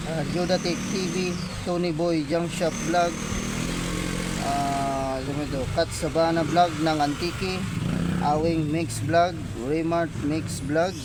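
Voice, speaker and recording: Very noisy recording with vehicles behind a fairly high-voiced man. He talks casually to viewers like a hands-on repair vlogger, pausing and repeating himself as he goes. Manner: halting, repeats himself, addresses viewers often. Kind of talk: casual hands-on gadget testing vlog.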